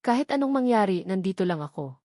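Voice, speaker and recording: A woman's voice: medium pitch, lively intonation, fast talker, dark tone, no echo, clean studio sound, lightly acted. A woman with a Filipino accent voicing a resolute male hospital chairman in a story, firm and commanding. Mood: earnest, tender. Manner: firm, commanding, switching into English. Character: resolute, cold-voiced male hospital chairman, secretly posing as guard.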